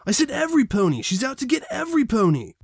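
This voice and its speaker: A man's voice: extremely high pitch, wildly swooping pitch, quick pace, slightly rough, dry recording, clean studio sound, pitched above the performer's own voice, heavily acted. Man playing an exasperated pony guard captain, raised voice. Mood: urgent, exasperated. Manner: urgent, authoritative.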